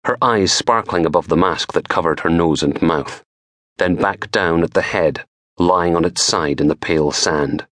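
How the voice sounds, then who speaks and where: A middle-aged man reading a crime novel aloud in a dramatic, measured storytelling manner. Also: sits low, a bit bright, dry recording. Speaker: author reading own audiobook. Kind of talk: scripted crime fiction narration.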